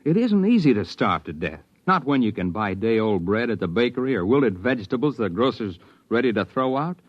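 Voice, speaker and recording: A man's voice: medium pitch, big pitch swings, fairly quick, no room sound. An American man playing an earnest, stubborn stage actor turned amateur sleuth in a 1950s radio drama. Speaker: first-person narrator and lead character. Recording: dull, lo-fi 1950s radio broadcast.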